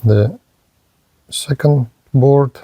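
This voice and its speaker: Slow, careful man demoing a radio. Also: medium pitch, dark tone, breathy edge, dry recording. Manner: halting, careful, with pauses and fillers. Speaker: radio reviewer. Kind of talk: slow hands-on product demo narration.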